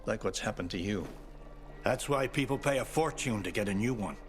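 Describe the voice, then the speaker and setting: Music sits behind a man acting as a grumpy older recluse in a video game. His low voice moves around a lot, sardonic and bitter as he grumbles. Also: expressive, a little fast, lightly acted. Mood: explanatory.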